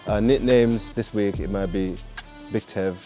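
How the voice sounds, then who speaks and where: A man's voice: on the low side, measured pace, warm tone, faint music behind. A 28-year-old man from Jamaica casually introducing himself to camera. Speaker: company staff.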